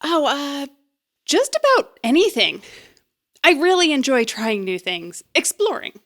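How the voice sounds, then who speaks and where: A young woman bluffing eagerly through an audio drama scene. Her voice sits high and swings about with lots of expression. She is flustered and hesitant, tending to ramble nervously. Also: very animated, a little slow, fairly bright tone, heavily acted. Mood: boastful, bluffing. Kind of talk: naturalistic audio drama acting.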